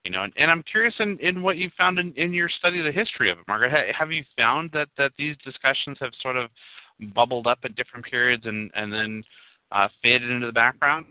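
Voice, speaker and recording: Male host, halting and rambling, middle-pitched, phone-line audio with no room. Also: dry recording. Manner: halting, repeats words, rambling questions. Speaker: show host. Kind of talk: online radio interview. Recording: tinny phone line, dry.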